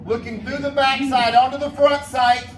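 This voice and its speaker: A man's voice: very high voice, flat delivery, moderate pace. A man teaching a firearms class at an indoor range, upbeat and playful as he calls out commands. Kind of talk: loud instructions to a class. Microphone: amplified voice. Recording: echoey, distant, noisy range.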